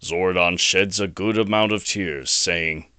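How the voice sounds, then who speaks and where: A man's voice: deep voice, unhurried, bright, forceful tone, smooth, no room sound. A man narrating a scripted action audio drama as a storyteller. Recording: noisy home recording.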